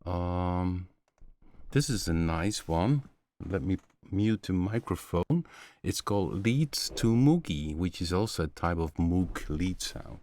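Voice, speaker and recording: A middle-aged man hosting a casual live stream from a home studio, showing synth sounds in a relaxed, halting way with many fillers. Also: sits low. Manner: relaxed, halting, many fillers, slightly non-native phrasing.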